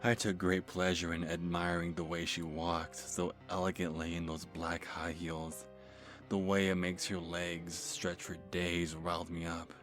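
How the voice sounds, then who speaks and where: A man playing a nervous, creepy lovestruck stalker telling a first-person horror story in an ASMR manner, halting and stammering as he corrects himself. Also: low-pitched, monotone, fairly bright tone. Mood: infatuated, dreamy. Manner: halting, stammering, breathy sighs and self-corrections. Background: music.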